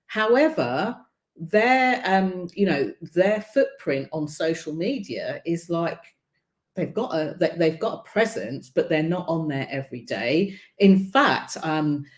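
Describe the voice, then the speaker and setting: A woman coach with a deep voice and no marked accent, talking casually in a broadcast and filling her speech with ums and you-knows. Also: lively intonation, slow pace, reverberant. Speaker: visibility coach hosting a broadcast. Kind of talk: casual spoken coaching talk.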